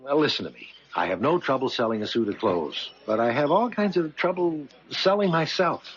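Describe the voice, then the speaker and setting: An actor playing an earnest, self-doubting young salesman in love in a 1970s radio drama, husky-voiced and boyishly eager. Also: mid-range voice, very animated, fairly quick, slight background noise. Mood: earnest, puzzled. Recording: muffled old radio drama.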